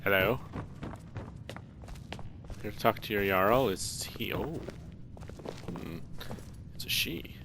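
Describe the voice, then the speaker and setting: A man giving casual, low-key gameplay commentary, half muttering to himself. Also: slow, creaky, long pauses. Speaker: let's player. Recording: very noisy microphone, rumbling background.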